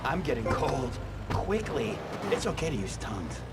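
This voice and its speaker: An actor playing a melodramatic, lecherous injured guest, pleading and drawling words out on a satirical radio show. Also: male voice, medium pitch, big pitch swings. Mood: swooning, lecherous. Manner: drawn-out, pleading. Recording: loud car and street noise over it.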